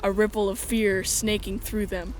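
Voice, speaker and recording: A woman's voice: medium pitch, little pitch movement, normal speed, slight background noise, low-quality recording. A woman reading scripted first-person story narration as the narrator of a drama.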